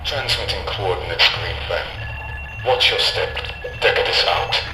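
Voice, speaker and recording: An actor playing a curt, suspicious ship radio operator in an audio drama, clipped and official through a radio. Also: male voice, deep voice, takes it slowly, background noise. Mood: cold, guarded. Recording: echoey radio transmission over music.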